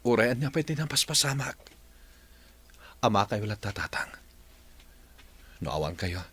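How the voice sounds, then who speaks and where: A man's voice: lowish voice, sing-song, a little slow, a bit bright, husky, full character voice. A man playing an earnest adult son in a dramatized family story, with an Ilocano accent. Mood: pleading. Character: earnest adult son reconciling with long-lost parents. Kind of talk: dramatized Ilocano radio-style story acting.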